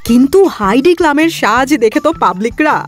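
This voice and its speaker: A woman's voice: high voice, animated, fairly quick. A woman presenting scripted entertainment gossip in a chatty, playful, teasing way. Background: music.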